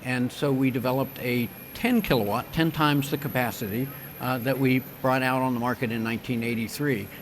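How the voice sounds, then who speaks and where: Older man, measured engineer on stage. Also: mid-range voice, fairly even intonation, slight background noise. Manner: measured, engineer-like, frequent ums and restarts. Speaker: wind turbine company president. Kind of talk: conference stage interview. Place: conference stage.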